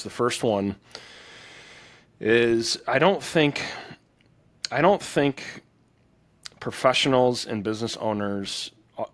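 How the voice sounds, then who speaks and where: A man hosting a podcast interview, affirming his guest and reflecting on the lessons in a thinking-aloud way. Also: lowish voice. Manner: affirming, reflective, talks in restarts while building a point. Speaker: podcast host.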